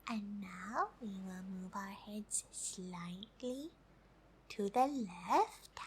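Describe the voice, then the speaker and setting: A woman voicing a gentle, polite female alien for an ASMR roleplay, in a soft breathy whisper with slow, hesitant, stilted English. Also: mid-range voice, a little hiss or hum. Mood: gentle, encouraging. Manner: slow, deliberate, hesitant, refers to herself in third person.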